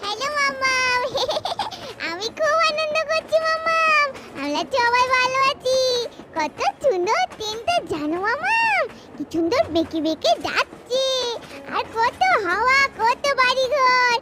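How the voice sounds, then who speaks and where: A tiny excited girl in a doll cartoon, squeaky, bouncy, loud noise. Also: a little slow, heavily acted. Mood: delighted. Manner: childlike, eager. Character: excited, sweet little girl on a trip.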